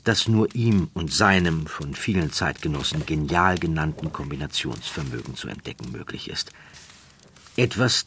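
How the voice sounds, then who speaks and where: A man narrates a scripted documentary story in a measured, dramatic way with deliberate pauses. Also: sits low, a bit bright, a little hiss or hum.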